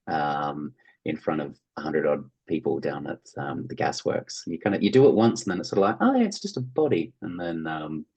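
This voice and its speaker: An Australian man who works as an actor, telling stories casually as an interview guest in an animated way. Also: extremely low pitch, breathy edge, low-quality recording. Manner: animated anecdote-telling, acting out quoted reactions.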